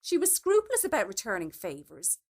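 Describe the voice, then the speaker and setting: A woman reading a literary short story aloud as an expressive, scripted narration. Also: medium pitch, a little fast. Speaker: story reader.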